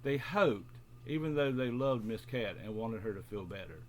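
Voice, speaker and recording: A man with a mid-pitched voice speaks to young children as a bedtime storytelling host. He addresses his listeners directly, in simple storybook language. Some noise sits behind the voice. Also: expressive, moderate pace. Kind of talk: friendly introduction, then read-aloud children's storytelling.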